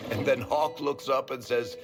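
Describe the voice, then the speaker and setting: A man's voice: mid-range voice, normal speed, music in the background, close to an everyday voice. A man playing a wry, world-weary dwarf storyteller who talks in a colloquial, teasing way in a video game. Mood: wry, amused. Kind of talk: video game cutscene acting.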